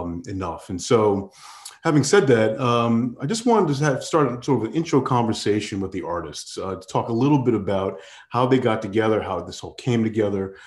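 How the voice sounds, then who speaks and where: A middle-aged man moderates an interview from a home study over a video call. His voice is fairly low and bounces in an echoey room. He asks measured questions padded with hesitant ums. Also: a little mellow. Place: home study with bookshelves, indoors.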